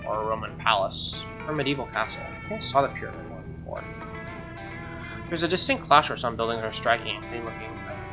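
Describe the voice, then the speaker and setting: A man reading a game's narration aloud in a casual playthrough style, with informal, joking asides. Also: medium pitch. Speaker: streamer playing and reading a game. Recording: slightly dull mic, music behind. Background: acoustic guitar game music.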